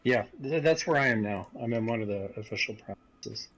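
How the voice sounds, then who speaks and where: A man's voice: lowish voice, a little fast, fairly bright tone. A man talking casually as a sim racing teammate over voice chat during a game.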